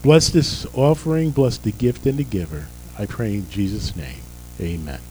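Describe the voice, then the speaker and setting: An older male pastor whose voice is fading after a busy week. His tone is mellow and dark, and he jokes with the congregation between announcements. Soft music plays faintly behind him in the sanctuary. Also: fairly low pitch, moderate pace, rough recording. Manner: folksy, joking asides. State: losing his voice. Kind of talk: church service announcements and prayer. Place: church sanctuary.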